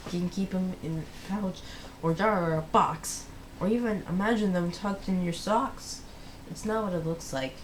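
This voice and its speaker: A storybook reader voicing a caring brain character who helps gently, reassuring and unhurried. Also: mid-range voice, slight background noise, close to an everyday voice, rough recording. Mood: encouraging.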